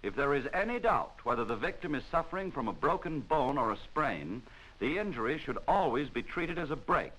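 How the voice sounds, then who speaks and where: American man narrating with lively, swinging pitch. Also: poor audio. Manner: formal, measured, instructional. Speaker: training film narrator. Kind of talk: 1940s scripted instructional film narration. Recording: vintage 1940s film soundtrack.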